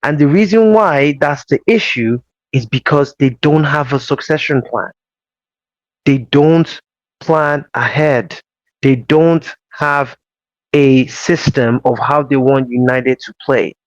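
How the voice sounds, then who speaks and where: A man hosting a casual football show, explaining tactics in a measured, emphatic way. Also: mid-range voice, no room sound. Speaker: host. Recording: slightly dull dry audio.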